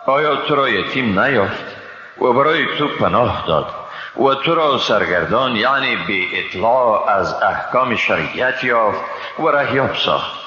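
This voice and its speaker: A man with an Afghan Dari accent reads a scripture translation in a solemn, measured, formal and expressive way. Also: mid-range voice, a crowd in the background. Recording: muffled, echoey reverb, hissy background.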